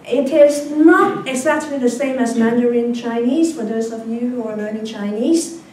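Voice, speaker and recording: A woman's voice: a little high, normal speed, darkish tone. A middle-aged woman whose first language is Cantonese, giving a chatty academic lecture and often checking in with her audience. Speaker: guest lecturer. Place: large lecture hall. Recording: very echoey hall from far off, faint noise.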